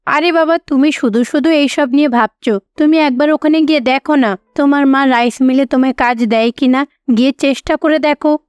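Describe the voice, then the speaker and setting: An earnest young wife from a cartoon family tale, played by a woman. She speaks in a high voice with a coaxing, devoted manner. The sound is dry and close. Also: fairly quick, darkish tone, dry recording, natural voice. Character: devoted, persuasive young wife in a poor household.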